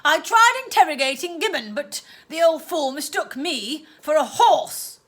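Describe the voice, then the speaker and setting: A woman voicing a scheming, cruel older aunt who talks loud and clipped, with sickly-sweet baby talk. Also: sits high, expressive, measured pace, voice pushed up. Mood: theatrical menace.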